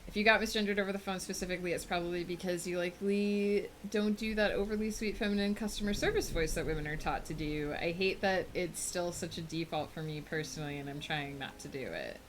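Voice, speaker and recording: A woman's voice: quick pace, few pauses, background noise. Chatty woman streamer talking to chat. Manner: rambling and thoughtful, with frequent fillers; bright, high lift when greeting chat viewers. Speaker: livestreamer. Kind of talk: casual chat with a live audience.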